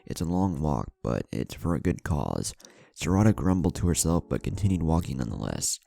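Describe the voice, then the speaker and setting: A man's voice: extremely low pitch, sing-song, a little fast, vocal fry, mellow. A man reading scripted fan fiction as a story narrator, with music playing underneath. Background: background music.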